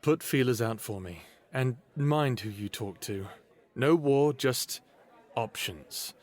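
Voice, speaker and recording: Earnest idealist, audio drama, medium-pitched male voice, unhurried delivery, halting, persuasive. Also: a little mellow. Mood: resolute. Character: earnest, idealistic young man, former captive urging peaceful change. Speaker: lead character and narrator. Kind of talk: audio drama acting with first-person narration.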